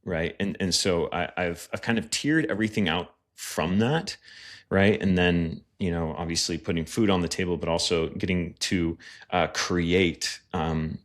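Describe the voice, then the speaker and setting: An earnest male pastor talks on a podcast in a very low voice. He is reflective and sincere, and he keeps checking in with "right?". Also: steady tone, a little slow. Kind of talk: casual podcast conversation.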